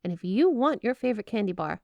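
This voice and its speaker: Woman doing a clingy yandere wife for asmr roleplay, sugary and coy but kinda menacing, mid pitch, super dry close sound. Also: animated, fast talker, gravelly, no room sound, dull audio. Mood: sweet, affectionate. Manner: gushing, coy, giggly, with dark possessive undertones. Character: flirty, obsessive yandere wife with snow magic, sweetly menacing. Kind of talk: ASMR roleplay, addressing the listener as spouse. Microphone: close, dry microphone.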